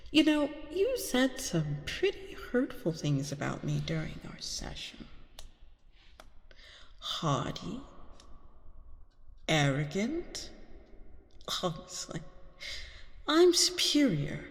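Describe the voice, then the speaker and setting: A woman playing a haughty, seductive vampire queen in an ASMR roleplay, teasing and gloating over her captive with deliberate phrasing and breathy whispers. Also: on the low side, wildly swooping pitch, in a large hall, heavily acted. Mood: haughty, amused.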